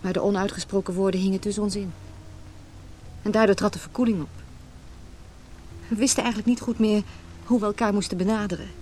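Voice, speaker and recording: A woman's voice: mid-range voice, fairly even intonation, a little fast, long pauses, a little mellow, lightly acted. An actress in a Dutch radio drama playing a vulnerable, earnest married woman torn by forbidden love. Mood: tender, regretful. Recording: noisy radio play recording.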